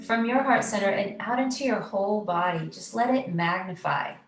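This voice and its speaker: A woman leading a live guided meditation, giving soothing, unhurried, gently coaxing instructions. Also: medium pitch, animated. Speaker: guided meditation teacher, host. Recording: roomy echo, distant mic, loud music behind. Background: loud meditation music.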